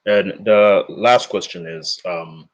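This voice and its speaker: A man's voice: low-pitched, takes it slowly. A man from Tanzania, calling in with questions and speaking politely and hesitantly. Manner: hesitant, polite, many fillers and restarts. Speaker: caller.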